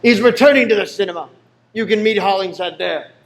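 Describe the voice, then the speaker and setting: A man acting a brisk, businesslike senior detective in a radio play. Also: high-pitched. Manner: businesslike, matter-of-fact.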